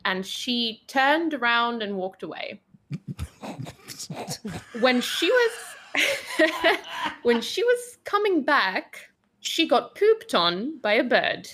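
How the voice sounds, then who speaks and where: A woman's voice: a little high, very animated, drawn-out words, long pauses, a bit bright. A woman guest on a podcast, chatting and telling a funny personal story. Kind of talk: casual chat, telling an anecdote.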